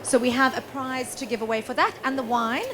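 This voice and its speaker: A woman's voice: sits high, brisk, background noise. A woman hosting a live cooking demonstration, bubbly and laughing often, announcing the guest chef and the prizes. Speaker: host.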